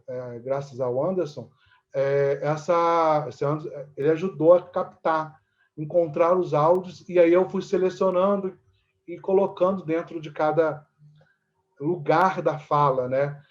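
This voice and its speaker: A man with a Brazilian Portuguese accent explaining things conversationally as an interview guest, haltingly, often checking in with short tag questions. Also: fairly high pitch, muffled recording. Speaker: actor and theatre teacher, interview guest.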